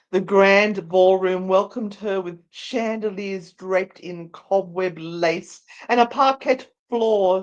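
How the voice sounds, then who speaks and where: A woman narrating a story as a dramatic live read, deliberate and theatrical. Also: medium pitch, muffled recording. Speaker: narrator and co-host.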